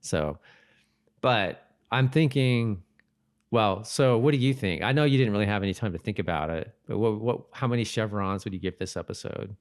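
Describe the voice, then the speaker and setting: Male co-host, lower register, informal talk, halting delivery with frequent rephrasing. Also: clean tone. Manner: halting, repeats and rephrases himself. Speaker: podcast co-host. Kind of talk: casual chat about a TV episode.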